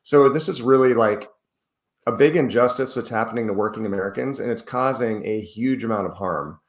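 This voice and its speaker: A man's voice: medium pitch, brisk, roomy sound. A man recovering from the flu, talking earnestly as an interview guest and explaining at length with frequent restarts. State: recovering from flu, using a cough drop. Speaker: podcast guest, author. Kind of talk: casual interview talk.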